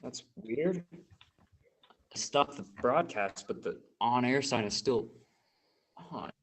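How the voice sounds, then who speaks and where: A man's voice: mid-range voice, animated, takes it slowly. An American man playing a flustered, sheepish radio technician in a comedy radio play over a home video-call microphone. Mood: baffled, sheepish.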